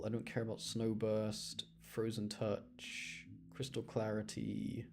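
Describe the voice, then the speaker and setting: A man giving casual, self-deprecating live commentary as he streams a video game. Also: medium pitch. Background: loud game music.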